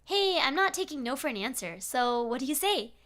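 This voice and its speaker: A woman's voice: high voice, very animated, brisk, a bit bright. A woman voicing a taunting, obsessive stalker fan from a horror story, in a smug, sing-song manner. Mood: teasing, pushy.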